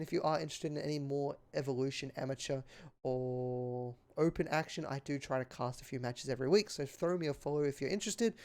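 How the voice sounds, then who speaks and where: A man's voice: medium pitch, darkish tone. A man doing live play-by-play esports commentary, earnest and appreciative, his voice a little strained and tiring. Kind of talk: live game commentary and sign-off.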